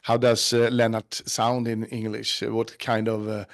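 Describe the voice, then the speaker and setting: Swedish guy actor, halting english, kinda gravelly and monotone. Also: lowish voice, no echo, studio quality. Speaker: actor interviewee. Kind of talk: casual interview answers.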